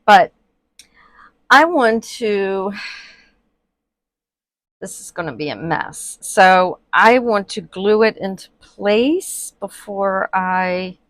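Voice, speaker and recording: A woman talking slowly and chattily as she works at her table, full of ums, her voice often dropping to a murmur. She sniffles now and then from allergies. The sound is dry and close, with no room echo. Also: mid-range voice. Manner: chatty, many fillers, quiet and murmured while working. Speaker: reborn doll artist. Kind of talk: casual crafting tutorial vlog. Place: indoors at a worktable.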